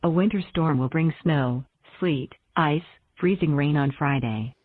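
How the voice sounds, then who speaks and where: Female emergency announcer, low-pitched and formal, over a narrow tinny phone line. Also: unhurried, husky, dry recording. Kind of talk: formal scripted public notification.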